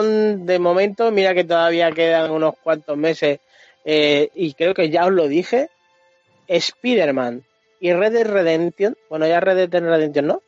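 Highish Spanish guy, slightly dull recording. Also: darkish tone, no room sound. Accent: Spain. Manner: colloquial, swears freely. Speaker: podcast panellist. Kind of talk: casual chat about video games. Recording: dull lo-fi sound, faint music.

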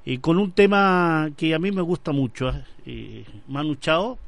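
A man's voice: a little high, a little slow, creaky, dry recording. A Chilean man hosting a conversational radio interview, often repeating words as he searches for a phrase. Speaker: radio show host.

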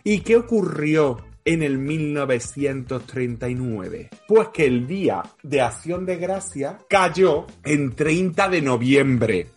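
A man's voice: on the high side, expressive, takes it slowly, few pauses, slightly rough, soft background music. A man from co-hosting a casual podcast, telling trivia in a playful, jokey way and laughing often. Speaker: podcast co-host. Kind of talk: casual chat, storytelling trivia.

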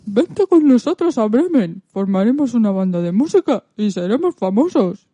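Lady playing a weary hopeful donkey in radio theatre, real animated pitch, soft mellow tone, very dry sound. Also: normal speed, no room sound. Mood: eager, inviting. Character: weary but hopeful male donkey dreaming of music. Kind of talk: radio theatre fairy tale acting.

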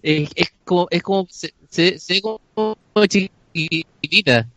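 A man's voice: high-pitched, slow, sharp tone, dry recording, faint music behind. A man with a Chilean accent hosting a casual comedy chat, mock-solemn and often repeating his words. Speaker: host of a comedy improv show. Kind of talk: casual joking group chat.

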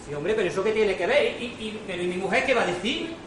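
A man's voice: high voice, lively intonation, fast talker, a bit bright, distant mic. A man in an amateur stage farce playing a flustered, exasperated servant who protests in colloquial speech, in an echoey noisy hall.

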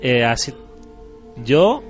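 Male podcast host, rambling and pragmatic, mid pitch. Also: sing-song, extremely slow, soft background music. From Andalusia, Spain. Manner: pragmatic, rambling, restarts often. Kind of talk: casual podcast chat.

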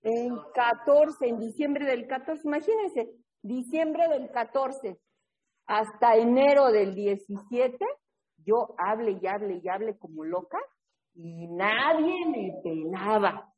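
Lively woman leading a support meeting. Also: a little high, takes it slowly, slightly rough, highs cut off. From New England, US. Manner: lively, keeps checking in with a tag question. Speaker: recovery workshop leader and sponsor. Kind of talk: casual storytelling talk in an online support meeting.

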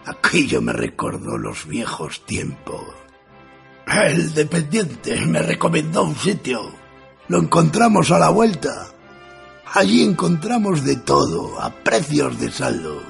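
An actor playing a jaded, sardonic writer in a radio play, narrating deadpan in a husky, gravelly voice. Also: male voice, a little high, slow, soft background music, full character voice. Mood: wry. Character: jaded, sardonic male writer narrating his idle days. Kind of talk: first-person radio theatre narration.

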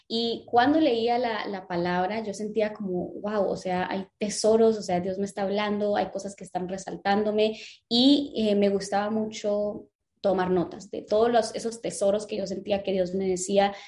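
A woman's voice: medium pitch, darkish tone. A woman from Guatemala, a lettering teacher and business owner, telling her story in a casual interview with frequent fillers.

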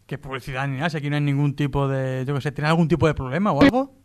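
A man's voice: fairly high pitch, rapid-fire, crowd noise. A man with an accent from Spain co-hosting a comedy radio show with casual, joking banter. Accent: Spanish from Spain. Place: radio studio.

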